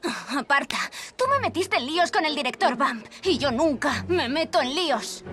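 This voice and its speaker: A woman's voice: high voice, animated, normal speed, sharp tone, slight background noise. A woman voicing a haughty, prickly teenage witch rival with a Castilian Spanish accent in a cartoon dub. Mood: haughty, annoyed. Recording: music behind the voice.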